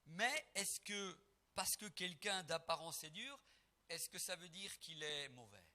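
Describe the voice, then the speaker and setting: A middle-aged man from Portugal preaches between songs at a gospel concert. His voice is very bright and ringing, lifting almost into song on key lines and softening when he shares memories. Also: high-pitched, expressive, a little slow. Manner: emphatic, rising to near-singing on key phrases; soft and reflective when telling family memories.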